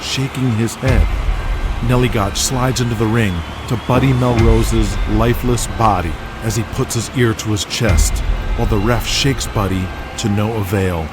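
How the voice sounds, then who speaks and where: A man's voice: on the low side, steady tone, normal speed, background noise. A man narrating a scripted audio drama as a dramatic storyteller. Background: music.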